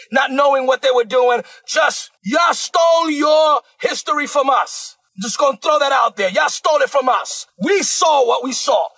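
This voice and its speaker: A male preacher delivering a fiery sermon, shouting with fervent, animated energy and asking and answering his own questions. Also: extremely high pitch, rough recording.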